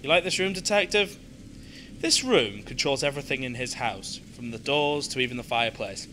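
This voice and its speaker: A man's voice: medium pitch, lively intonation, sharp tone, slight background noise. A man playing a gloating, mocking serial killer villain in an amateur radio play, with theatrical, taunting delivery. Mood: smug menace. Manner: mocking, taunting, drawn-out menace.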